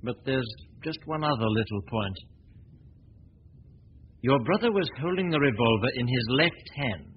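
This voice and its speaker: A man plays a confident amateur detective from a 1940s radio play, breezy and teasing in his self-assured talk. His voice sits at an ordinary pitch for a man. The recording is dull and old, with a faint hiss behind it. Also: lively intonation, moderate pace, long pauses, natural voice. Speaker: lead actor in radio drama.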